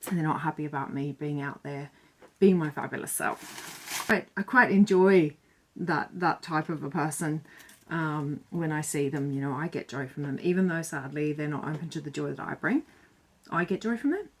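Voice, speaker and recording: Earnest chatty woman, lowish voice. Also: moderate pace. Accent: none Irish. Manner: earnest, chatty and reflective, with some fillers. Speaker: self-styled joy bringer. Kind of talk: talking to camera with tips.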